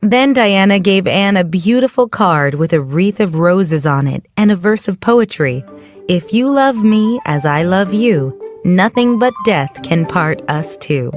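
A woman giving scripted narration for a children's story in a clear storytelling delivery. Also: fairly low pitch, lively intonation, measured pace, dry recording, soft background music.

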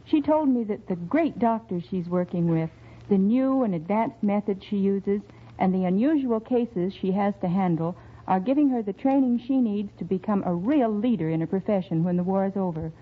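A woman's voice: medium pitch, moderate pace, narrow, tinny audio. A woman giving an earnest scripted public appeal on an old 1940s radio broadcast. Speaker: radio show performer making a public appeal.

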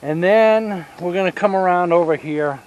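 A man in his fifties with a Southern US accent, giving a casual outdoor tour of his homestead and explaining each step with pauses. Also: high-pitched, darkish tone, a little hiss or hum, low-quality recording. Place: outdoors on rural land by a pine forest. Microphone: action camera held at arm's length.

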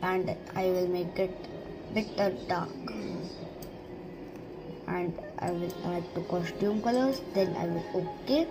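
A woman's voice: lowish voice, steady tone, takes it slowly, long pauses, poor audio. A woman giving a step-by-step digital drawing tutorial, speaking in simple, short, broken instructions over a screen recording. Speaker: art teacher. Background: background music.

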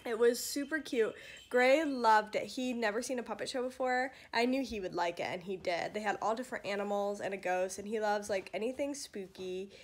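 A woman's voice: mid-range voice, a little fast, slight background noise. A young adult American woman chatting casually to a phone camera held at arm's length, as a vlogging mother. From United States. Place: living room at home.

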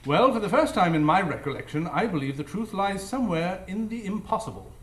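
An actor playing a brisk, analytical gentleman detective, formal and declamatory, in a live radio drama. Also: sits high, lively intonation. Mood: emphatic, confident. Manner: declamatory, formal, self-assured. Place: live stage before an audience. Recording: echoey live stage recording.

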